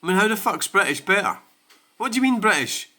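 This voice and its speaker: A man's voice: sits high, very animated, fast, bright, forceful tone. A Scottish man hosting his own channel, talking in a blunt, sweary and rambling way in a casual rant. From Scotland. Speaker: channel host.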